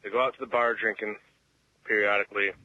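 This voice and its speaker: A man calling in on a voicemail, telling a joke in deliberate short phrases. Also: lowish voice, little pitch movement, sharp tone, dry recording. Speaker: voicemail caller. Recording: dull lo-fi voicemail message.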